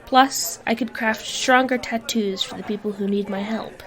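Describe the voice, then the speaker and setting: A woman playing a compassionate goddess in an audio drama, with an earnest, gentle delivery. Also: on the high side, steady tone, moderate pace, natural voice. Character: earnest, compassionate goddess running a magical tattoo shop. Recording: crowd chatter behind.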